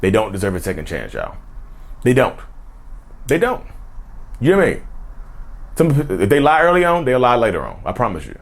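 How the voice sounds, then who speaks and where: A man's voice: medium pitch, wildly swooping pitch, moderate pace, creaky, long pauses. A man hosting a casual vlog rant, emphatic and slangy, who keeps addressing his viewers directly. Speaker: YouTube host.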